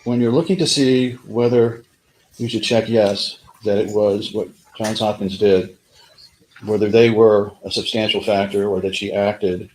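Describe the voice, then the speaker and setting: A man speaking as a lawyer in a courtroom, making a halting, direct closing argument to a jury. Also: lowish voice, darkish tone, poor audio.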